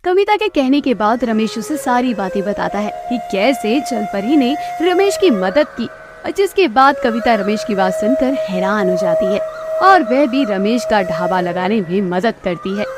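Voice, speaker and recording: A woman's voice: mid-range voice, animated, unhurried, noisy background. A woman reading scripted narration for a children's moral story as the storyteller.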